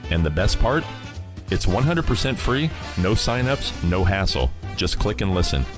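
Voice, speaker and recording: A man's voice: deep voice, big pitch swings, normal speed, over music. A man hosting a radio program with scripted, promotional narration. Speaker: podcast and radio program host.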